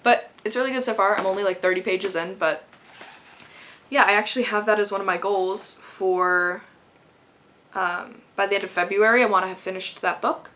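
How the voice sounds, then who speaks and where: A woman's voice: mid-range voice, fairly even intonation, normal speed, a bit bright, a little hiss or hum. A young woman chatting casually to camera, rambling with frequent laughs. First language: English. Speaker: vlogger.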